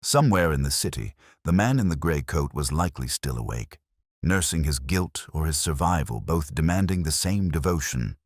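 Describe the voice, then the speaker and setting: A man reading a scripted first-person detective story in a brooding, measured, literary manner. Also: rumbling low, expressive, dry recording, studio quality. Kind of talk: scripted literary mystery narration.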